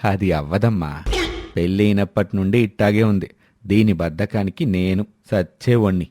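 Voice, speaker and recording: Man playing a low, mellow, weary father-in-law. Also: moderate pace, no room sound, clean studio sound, close to an everyday voice. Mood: weary, complaining. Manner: weary, grumbling, affectionate to daughter-in-law. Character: long-suffering older father-in-law, mild and complaining.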